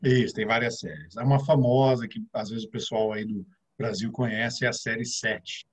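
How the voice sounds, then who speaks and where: A man's voice: on the low side, moderate pace, husky. A middle-aged man with a São Paulo interior Brazilian accent, a guest financial advisor explaining things step by step, with many filler sounds. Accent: São Paulo interior Brazilian Portuguese. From interior of São Paulo state, Brazil.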